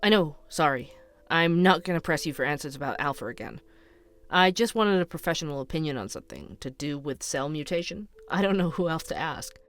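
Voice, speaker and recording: A man's voice: fairly high pitch, animated, a little fast, a bit bright. A man playing an earnest, inquisitive podcast investigator. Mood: polite, careful. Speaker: podcast host investigating a case. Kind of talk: audio fiction dialogue.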